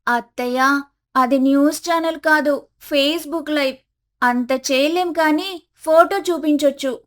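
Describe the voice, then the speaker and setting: A woman voicing a young village daughter-in-law, polite but frank, in an animated family story. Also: high-pitched, slow, pitched above the performer's own voice. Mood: amused, helpful. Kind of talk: animated moral story acting.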